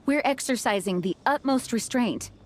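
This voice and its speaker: An actress playing an earnest, dutiful young knight leader in a video game, polite, modest and formal. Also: female voice, fairly high pitch, animated, normal speed, slight background noise, natural voice. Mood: flustered. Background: music.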